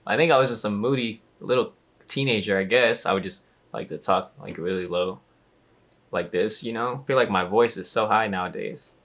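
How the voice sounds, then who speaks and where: Laid-back young man with a bright tone. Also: lowish voice, moderate pace. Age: young adult. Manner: laid-back, slangy, laughs along. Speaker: YouTuber reacting to his old video. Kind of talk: casual reaction commentary. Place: small bedroom. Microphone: gaming headset mic.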